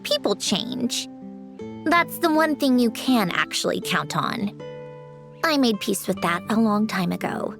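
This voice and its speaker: A woman's voice: mid-range voice, big pitch swings, a little slow, vocal fry, music in the background. A woman voicing a proud, guarded young woman in a video game, clipped, matter-of-fact and aloof. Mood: brisk, guarded. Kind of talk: English video game voice acting.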